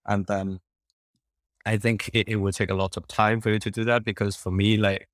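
A man's voice: fairly low pitch, creaky, dry recording. A young man whose first language is Cantonese, talking in a casual interview, rambling with many fillers and restarts.

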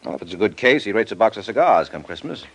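An actor playing a suave, wisecracking private detective in an old radio drama, dry and nonchalant. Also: male voice, fairly low pitch, sing-song, fast, noisy background. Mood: wry, cool.

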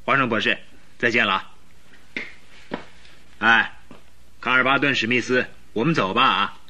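An actor playing a stern, respectful police inspector in a Mandarin radio drama, speaking in a brisk, commanding way. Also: male voice, mid-range voice, pushed and bright, slight background noise. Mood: stern warning.

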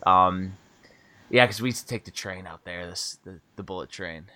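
A man's voice: low voice, moderate pace, sharp tone. An American man in his thirties hosting a casual interview, rambling and laid-back with lots of fillers and laughs. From United States. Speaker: podcast host and book publisher.

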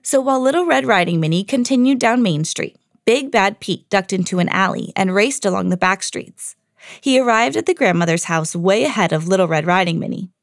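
Animated woman reading a children's story. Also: medium pitch, fairly quick, dry recording, clean studio sound. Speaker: podcast host and children's story reader.

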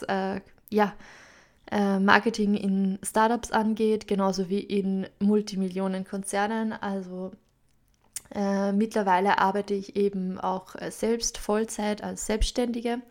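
A woman's voice: medium pitch, creaky, a little mellow. A woman in her twenties with an Upper Austrian accent, hosting a podcast in a chatty, casual way with many fillers and English loanwords. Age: 20s. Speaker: podcast host. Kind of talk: casual solo podcast talk.

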